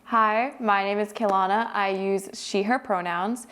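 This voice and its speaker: A young woman from Hawaii in casual panel chat, relaxed, with many fillers. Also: medium pitch, unhurried. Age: young adult. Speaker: student panelist.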